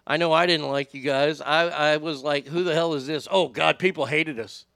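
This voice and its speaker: A middle-aged man with a high voice that lacks bass, telling profane, animated stories as a radio host. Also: moderate pace, vocal fry, dry recording. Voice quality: high voice without much bass. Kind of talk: casual storytelling podcast.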